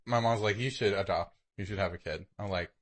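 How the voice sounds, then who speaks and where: A man's voice: on the low side, quick pace. A man co-hosting a podcast in a casual, rambling, informal way. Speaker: podcast co-host.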